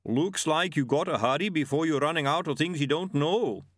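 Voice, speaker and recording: A man voicing a tall, furry Yeti in a storybook, calm and laconic, with clipped, broken phrasing. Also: on the high side, normal speed, no room sound, studio quality, pitched above the performer's own voice, natural voice. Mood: dryly amused.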